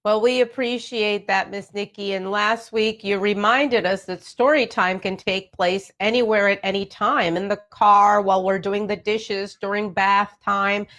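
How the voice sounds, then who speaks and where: A woman's voice: medium pitch, steady tone, a little slow, hardly any pauses, a bit bright. A woman hosting an educational talk for families, speaking in an encouraging, affirming way straight to her listeners. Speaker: radio show host.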